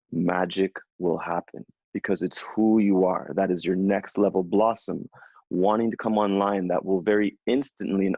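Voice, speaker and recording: A man in his thirties, a spiritual coach, giving a slow, soothing reading and then chatting casually with his client. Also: low voice, a little mellow, no room sound. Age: 30s. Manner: slow, soothing, affirming delivery. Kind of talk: guided channeled reading, then casual chat. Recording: dull lo-fi sound.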